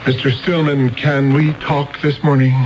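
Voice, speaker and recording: An actor playing a blunt, pushy police lieutenant in a 1970s radio drama. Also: male voice, medium pitch, little pitch movement, unhurried, noisy background, natural voice. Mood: pressing. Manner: blunt and pressing.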